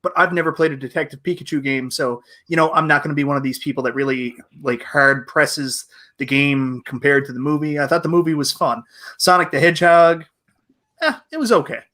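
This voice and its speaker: Bright, higher-voiced man on a panel. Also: a little fast. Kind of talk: casual panel chat.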